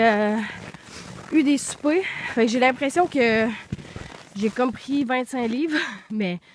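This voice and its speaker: A woman's voice: on the high side, slight background noise. A young woman with a Quebec French accent, congested from the flu and a bit out of breath, chatting loosely with many fillers while walking in snowy woods. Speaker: vlogger. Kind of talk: casual vlog chat while walking outdoors. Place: snowy woods outdoors in deep cold.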